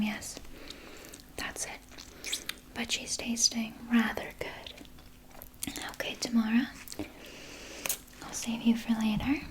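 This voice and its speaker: A woman plays a doting yet sinister female vampire nurse in a whispered ASMR roleplay. Her breathy whisper is unhurried and stays very even, soothing and coy, with murmured asides. A faint noise sits behind the voice. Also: on the high side. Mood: savoring, musing. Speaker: ASMR performer.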